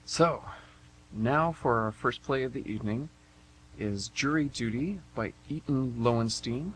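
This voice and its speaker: A man's voice: mid-range voice, measured pace, low-quality recording. A man co-hosting an amateur radio theatre show, introducing it in an informal way. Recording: amateur radio, faint background hum.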